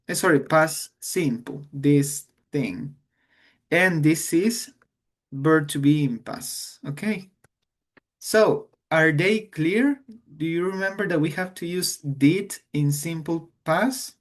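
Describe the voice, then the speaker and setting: Guy teaching english grammar, slow, switches to to explain. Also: fairly high pitch. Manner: slow, explains in English then repeats in Spanish. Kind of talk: online grammar lesson.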